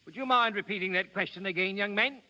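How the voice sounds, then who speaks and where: A man playing a brisk, courteous music-hall memory performer in 1930s radio acting style, rattling off facts in formal stage patter. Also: high-pitched, sharp tone. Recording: muffled 1930s radio broadcast, noisy crowd.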